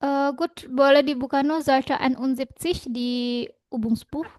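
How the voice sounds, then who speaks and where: Female language teacher, higher-pitched, patient and explanatory, with a verbal "ya" tic, close and dry. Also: steady tone, a little mellow, no room sound. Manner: patient, tags nearly every phrase with a quick "ya"; switches between German and Indonesian to explain. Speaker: German teacher. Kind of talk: online language lesson.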